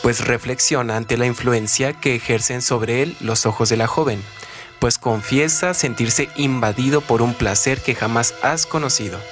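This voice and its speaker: A man's voice: medium pitch, moderate pace, over music. A man narrating scripted literary commentary in a formal, rhetorical manner.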